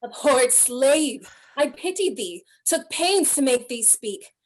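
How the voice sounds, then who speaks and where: A woman's voice: high voice, expressive, takes it slowly, exaggerated voice, rough recording. A woman playing a young, sheltered noble daughter in a Shakespearean radio play, speaking verse earnestly and theatrically. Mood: indignant.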